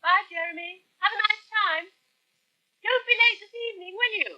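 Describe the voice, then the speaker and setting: An actress playing a reasonable, practical English wife in a radio soap, soothing in manner, on a dull old recording. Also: female voice, extremely high pitch, normal speed, pushed and bright, full character voice. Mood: calling out. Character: reasonable, placating middle-class wife. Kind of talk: British radio soap acting.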